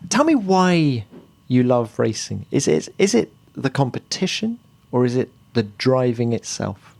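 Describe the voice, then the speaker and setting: Inquisitive male podcast interviewer, medium pitch. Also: big pitch swings, unhurried, vocal fry, a little mellow. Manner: inquisitive, prompting with follow-up questions. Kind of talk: conversational interviewing.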